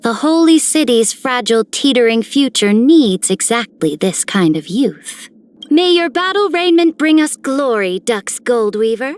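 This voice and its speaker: A woman's voice: on the high side, sing-song, measured pace. A woman playing a haughty, theatrical noblewoman in a video game, stately and faintly menacing. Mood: imperious admiration.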